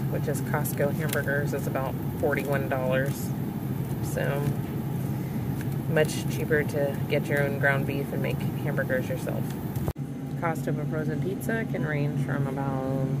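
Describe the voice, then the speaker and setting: Low-voiced woman vlogging over loud noise. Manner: chatty, explaining with frequent ums. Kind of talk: casual vlog walkthrough. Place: outdoors in a parking lot, then inside a grocery store. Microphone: phone held at arm's length.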